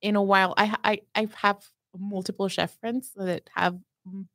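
A woman's voice: mid-range voice, little pitch movement, normal speed, fairly bright tone, dry recording, clean studio sound. A woman from Mexico, a pastry chef telling stories as a podcast guest, chatty and self-deprecating. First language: Spanish. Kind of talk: casual interview chat.

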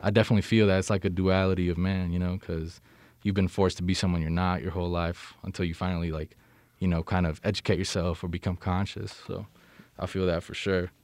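A man's voice: low-pitched, level tone, quick pace, darkish tone, no room sound. A young American man in casual conversation, talking in an informal, slangy way and often checking in with the listener. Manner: informal, slangy, frequent "you know what I mean".

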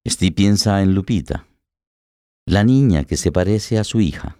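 A man's voice: deep voice, animated, measured pace, warm tone, dry recording. A man giving a dramatic scripted narration of a radio play. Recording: plucked guitar music under voice.

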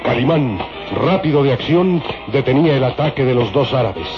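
A man's voice: mid-range voice, expressive, normal speed. A man giving declamatory, dramatic scripted narration for an old-time radio serial. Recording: dull lo-fi radio recording, loud music.

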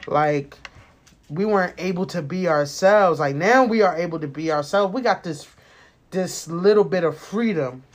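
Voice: mid-range voice, normal speed. An American podcast host in their late twenties talking casually and personally, rambling, candid and emphatic, with plenty of swearing. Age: late 20s.

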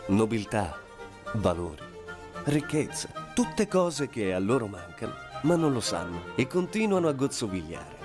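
A man's voice: medium pitch, big pitch swings, normal speed, voice pushed down, lightly acted. A man playing a solemn, mock-grand announcer narrating the scripted intro of a radio drama. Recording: loud violin music over the voice.

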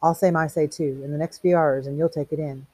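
A reader voicing an earnest male mentor who cautions in a soft, urgent way in a storybook reading. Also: medium pitch, fairly even intonation, a little fast, no room sound, close to an everyday voice. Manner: hushed, urgent. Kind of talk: audiobook character reading.

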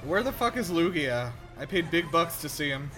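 A man's voice: a little high, sing-song, normal speed, over music. A man chatting casually as a co-host reacting to a film, jokey and amused, swearing freely. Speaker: podcast co-host. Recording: film soundtrack playing behind.

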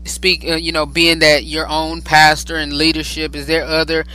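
A talk-show host, a man, rambles through his questions with many fillers and steady affirmations. His voice sits fairly high for a man and sounds very bright. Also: normal speed, clear, resonant tone, faint music behind, poor audio. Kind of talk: interview conversation.

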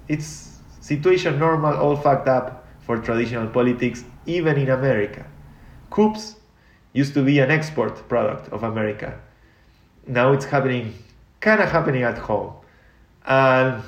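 Male guest, reflective and meandering, mid-range voice, recorded in a reverberant room. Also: medium pitch. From Argentina. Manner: reflective, rambling with frequent restarts and fillers. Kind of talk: casual interview talk. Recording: echoey room.

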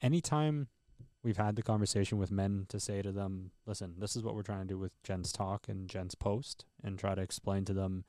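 A man's voice: low-pitched, moderate pace, few pauses, warm tone, dry recording. A man hosting a podcast interview in a studio, earnest and encouraging as he talks with his guest. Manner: earnest, encouraging, speaks about men's struggles. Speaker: podcast host. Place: recording studio. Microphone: headset mic and headphones.

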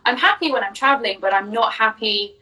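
A young woman from Jersey speaks as a guest in a casual interview. Her voice is bright and clear, and she tells her story openly, with lots of ums. She sits a little away from the mic. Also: fairly high pitch, lively intonation, fairly quick, recorded from a distance, rough recording. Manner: open, chatty storytelling with fillers. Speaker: business coach, guest.

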